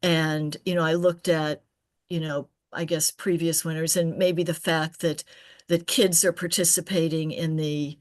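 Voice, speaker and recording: A woman with a slightly low voice talks informally at a poetry reading. She wanders through her anecdote, breaking off phrases and starting them again. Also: little pitch movement. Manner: halting, digressive, often restarting mid-phrase. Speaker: poet at an open reading. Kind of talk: casual, rambling anecdote.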